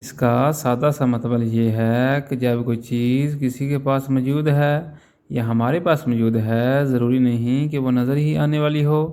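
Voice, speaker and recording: A man giving a language lesson at an unhurried pace. His voice stays level with little rise and fall. He explains step by step and keeps checking that his listeners follow. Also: medium pitch, mellow, clear, resonant tone. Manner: patient, repeats phrases and checks in often. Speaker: language teacher.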